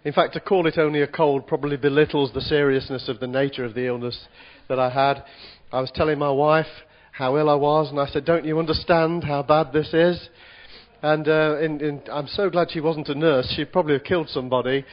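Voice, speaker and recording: Husky man preaching with a cold. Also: a little high, fairly even intonation, fairly quick, highs cut off. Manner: self-deprecating, chatty with stammered restarts. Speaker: visiting preacher. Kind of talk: sermon.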